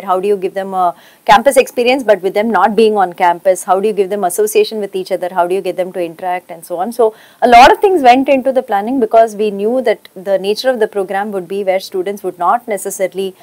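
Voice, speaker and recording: A woman's voice: medium pitch, a little mellow. A woman, explaining program planning in a documentary interview and posing rhetorical questions.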